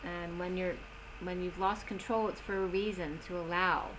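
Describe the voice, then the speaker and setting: A woman giving a tarot reading in a slow, soothing manner, slightly strained as she is losing her voice. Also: fairly low pitch, fairly even intonation, noisy background. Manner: slow, soothing reading of oracle cards with gentle pauses. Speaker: tarot reader. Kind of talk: solo tarot reading video.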